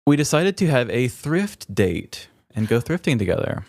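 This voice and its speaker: A man's voice: medium pitch, very animated, moderate pace, a little mellow, no room sound. A man co-hosting a podcast in casual, comedic chat, telling self-deprecating stories with some casual swearing. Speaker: podcast co-host.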